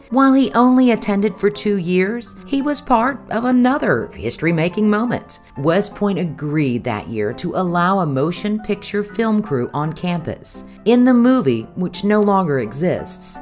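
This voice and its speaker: A woman narrates a history documentary in a fairly low voice with lively rises and falls. Her delivery is measured and earnest, and music plays behind her.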